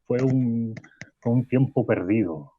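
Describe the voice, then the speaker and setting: A man's voice: medium pitch, slow, phone-quality sound, very noisy, lightly acted. A man with a Chilean accent playing a shaken, weary university professor who stammers and repeats himself. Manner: hesitant, stammering, repeats words. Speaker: guest. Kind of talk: radio drama acting.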